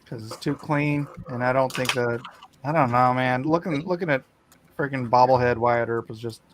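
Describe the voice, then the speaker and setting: A man's voice: medium pitch, moderate pace. A man from Hawaii hosting a casual livestream chat, joking and teasing his guests and laughing often. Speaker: comic artist host. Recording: clear steady background noise.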